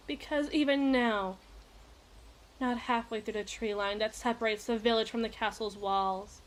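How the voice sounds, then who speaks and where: A woman's voice: a little high, a little hiss or hum, close to an everyday voice. A woman playing a possessive, imperious evil queen in an ASMR roleplay, speaking in formal, teasing, theatrical diction. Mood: intimate, menacing.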